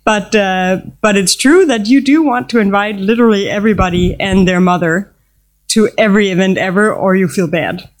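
A woman chatting casually at a table with friends, lively and repeating her points. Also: mid-range voice, moderate pace. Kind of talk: casual conversation. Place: seated at a table with others.

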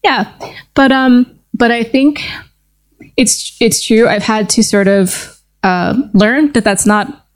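Woman host, chatting at a table, thinks out loud with lots of ums. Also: a little high, slow. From United States. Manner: thoughtful, hesitant with fillers. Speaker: podcast host. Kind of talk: casual conversation. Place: seated at a table with others.

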